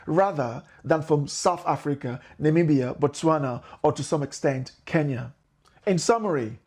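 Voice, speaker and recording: Man reading a measured monologue. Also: a little high, darkish tone, slightly rough. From Nigeria. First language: Igbo. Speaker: video narrator. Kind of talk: scripted monologue narration.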